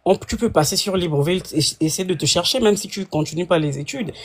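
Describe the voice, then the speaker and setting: Fast, high-pitched male questioner. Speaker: panel participant asking questions. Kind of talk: questioning on a live call-in panel.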